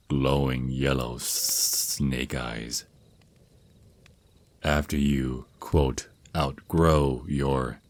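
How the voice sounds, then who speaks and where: An extremely low-voiced vampire naga boyfriend, played by a man, unhurried and close in a dry recording. He speaks as a fond, protective mentor in an intimate ASMR roleplay, stretching his s sounds and stumbling now and then over slightly formal phrases. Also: no room sound. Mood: admiring, instructive. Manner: hesitant stammers, drawn-out s sounds, slightly formal wording. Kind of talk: ASMR roleplay, intimate one-sided scripted dialogue.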